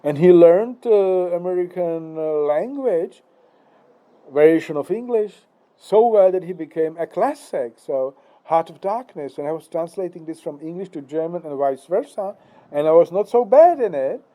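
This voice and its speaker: Czech guy in his 60s musing about philosophy, lots of uhs and "no?", a bit high-pitched. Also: expressive, mellow, poor audio. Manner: halting, many fillers and restarts, tag questions. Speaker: philosophical speaker. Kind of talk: rambling philosophical monologue.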